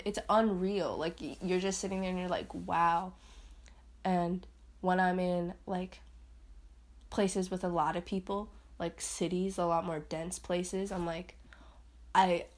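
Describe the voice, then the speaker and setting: A young woman talks loosely through a casual solo podcast, her voice sitting a little low. She rambles and laughs at herself, and now and then she jumps up into a higher playful voice. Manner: swings into high put-on voices. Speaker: podcast host.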